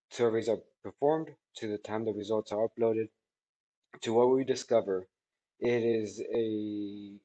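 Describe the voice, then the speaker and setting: A man in his thirties giving a spoken product testimonial in a halting, measured way, with drawn-out words. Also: on the low side, warm tone, low-quality recording. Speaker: technology product reviewer. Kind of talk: spoken testimonial answering questions. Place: small indoor office room by a large window.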